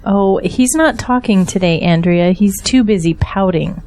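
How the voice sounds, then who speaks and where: A weary but grateful mother played by an actress in an audio drama. Her voice is soft-edged and dark, and she talks with candid resignation. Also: mid-range voice, a little slow, lightly acted. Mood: resigned.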